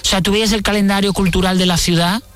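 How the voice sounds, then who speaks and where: A woman's voice: mid-range voice, fairly even intonation, quick pace, no room sound. Candid woman in a radio interview, music behind. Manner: candid, reflective, emphatic repetition.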